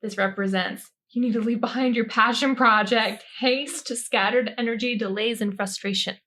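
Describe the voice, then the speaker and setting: A woman's voice: a little high, fairly even intonation, normal speed, a bit bright, rough recording. A woman co-hosting a podcast, giving a tarot reading in an informal, joking way and reading card meanings aloud.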